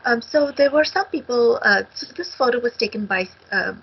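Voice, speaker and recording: A middle-aged woman from India, co-author, talking on a video call from a home study with a headset, halting with many ums. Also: on the high side, animated, slightly rough. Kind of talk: casual talk on a video call. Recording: dull lo-fi video call audio.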